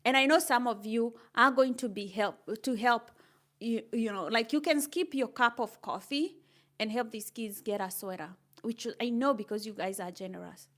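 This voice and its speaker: An older woman with a Kenyan accent talks live to her viewers in a fairly high voice. She chats casually, reads out their comments and laughs easily, upbeat and encouraging throughout. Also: normal speed, fairly bright tone. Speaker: livestreamer.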